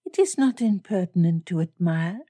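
A woman's voice: fairly low pitch, lively intonation, moderate pace, dark tone, no echo. A woman voicing a poised, grave young foreign lady in an audiobook, with quaint formal phrasing and a subtly teasing manner. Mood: amused approval.

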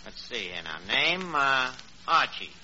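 An actor playing a gullible, self-important New York barkeep in 1940s radio comedy, using ungrammatical street talk with pompous airs. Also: male voice, mid-range voice, sing-song, a little slow, pushed and bright, heavily acted. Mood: earnest, proud. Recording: muffled, noisy 1940s radio recording.

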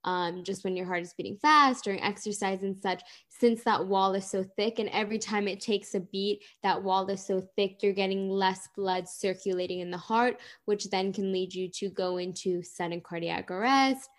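A woman in her twenties, a guest in a casual interview, explaining in an earnest, steady way with many ums. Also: medium pitch, normal speed, few pauses.

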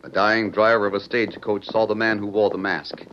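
A man's voice: fairly low pitch, little pitch movement, brisk, a bit bright, slight background noise. A man narrating dramatically as the announcer of an old-time radio western. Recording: dull vintage radio recording. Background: faint music.

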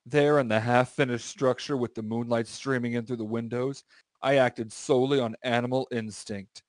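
Guy doing a comedic dramatic reading for his podcast, close dry sound, normal pitch. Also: fairly even intonation, normal speed, dry recording. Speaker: podcast host reading aloud.

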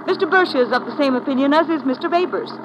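An actress playing a young Turkish engineering student in an old radio drama, poised and knowing, with formal, deliberate phrasing. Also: female voice, high voice, close to an everyday voice. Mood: knowing, confiding. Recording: muffled, hissy old radio recording.

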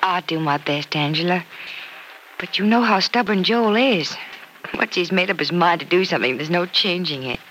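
A woman's voice: fairly low pitch, moderate pace, a bit bright, natural voice, low-quality recording. An actress with an American accent playing an earnest, stubborn young woman in an old-time radio soap opera. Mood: earnest, troubled. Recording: old radio broadcast with faint noise.

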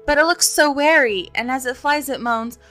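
An eager young storybook boy, played by a woman narrator. The voice is raised high and breathless, exclaiming with simple, excitable feeling. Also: moderate pace, faint music behind. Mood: awestruck. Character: frightened, eager, simple young lost boys.

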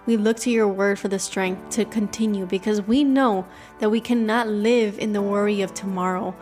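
A woman's voice: medium pitch, steady tone, normal speed, darkish tone, faint music behind. A young woman with a Cuban accent hosting a devotional podcast, teaching scripture and leading prayer in an earnest, emphatic way.

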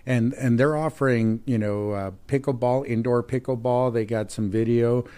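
A man born in Mexico and raised near Chicago, a chamber of commerce president talking casually in an interview, friendly, with many pauses and restarts. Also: medium pitch, fairly even intonation, dark tone. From Mexico, raised in Chicago area.

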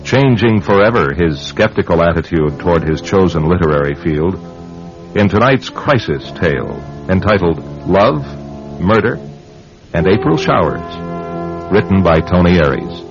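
A man narrating a radio drama as announcer, with a portentous, deliberate, dramatic delivery. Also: deep voice, animated. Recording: dull lo-fi radio recording. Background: music.

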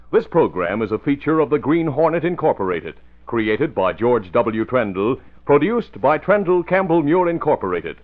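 A man announcing and narrating in a polished, emphatic 1940s radio style, reading from a script. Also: on the high side. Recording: muffled vintage radio broadcast recording.

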